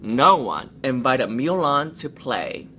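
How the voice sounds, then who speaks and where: A woman's voice: very deep voice, very animated, takes it slowly, a bit bright, slight background noise. A woman reading a children's picture book aloud in a theatrical, encouraging manner, often addressing young listeners directly. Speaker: drag artist storytime reader.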